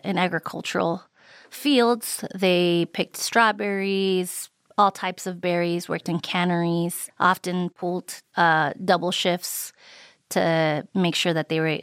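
A woman born in Mexico recalling her life in an interview, reflective and unhurried with frequent ums and uhs. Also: lowish voice, fairly bright tone, smooth, no echo, studio quality. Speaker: interviewee, immigrant rights advocate. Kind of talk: recorded interview recollection.

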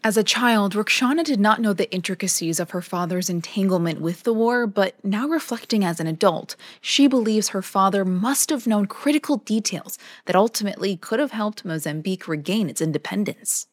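A woman hosting a podcast, narrating a scripted documentary story in a measured, even way. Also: mid-range voice, no room sound, clean studio sound. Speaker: podcast host.

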